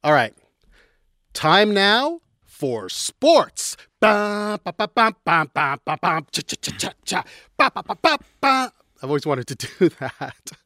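A man hosts a radio podcast in a playful, joking way. His voice sits a little high. The studio sound is dry. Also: sing-song, takes it slowly, bright, forceful tone, no room sound. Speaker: podcast host. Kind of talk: casual radio chat.

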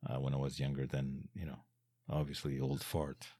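A non-native English-speaking man with a very low, husky voice, recorded dry and close. He interviews a guest with long, rambling, enthusiastic questions. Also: little pitch movement, normal speed, dark tone, no room sound. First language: not English. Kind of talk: interview conversation.

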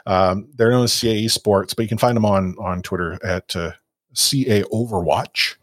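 A man's voice: on the low side, moderate pace, no echo, clean studio sound. A man hosting a casual esports podcast, rambling and self-deprecating with many fillers and restarts. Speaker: podcast host.

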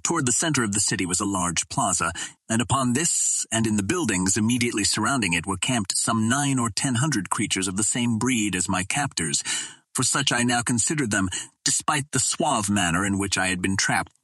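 A man reading an adventure novel aloud as an audiobook narrator, in a measured, formal storytelling manner. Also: lowish voice, expressive, pushed and bright, breathy edge, dry recording.

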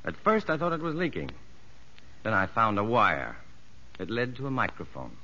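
An actor playing an earnest prison psychologist in a 1950s radio drama, measured and probing. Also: male voice, on the low side, wildly swooping pitch, bright, forceful tone, exaggerated voice. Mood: curious, guarded. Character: earnest male prison psychologist, narrating and talking with convicts. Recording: dull vintage radio recording, music underneath.

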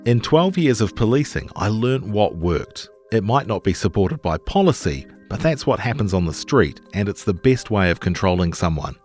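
A man with a fairly low voice reads scripted journalism in a measured, articulate way. His intonation moves up and down in a lively manner as he narrates a long-form article, with faint music in the recording.

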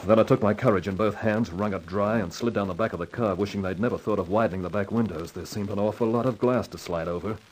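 An actor playing a cool, wisecracking hardboiled man in 1950s radio drama style, with a wry, unhurried delivery. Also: fairly low pitch, darkish tone, natural voice. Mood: tense narration. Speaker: lead actor and narrator in a crime radio drama. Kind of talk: 1950s radio acting, hardboiled first-person narration. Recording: noisy vintage 1950s radio recording.

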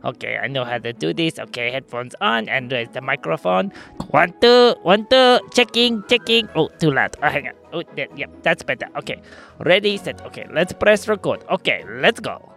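A man's voice: on the high side, moderate pace, creaky, faint music behind. A man playing a small, mischievous magical creature who tells children's stories in an eager, childlike, singsong babble. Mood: fussy, busy. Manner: excitable, singsong, playfully babbling. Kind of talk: storytelling for children, in character.

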